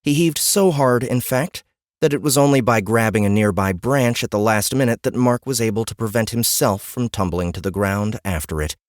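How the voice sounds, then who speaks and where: A man narrates a fantasy audiobook in a low voice. His reading is scripted and dramatic, recorded close and dry as in a studio. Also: moderate pace, dry recording.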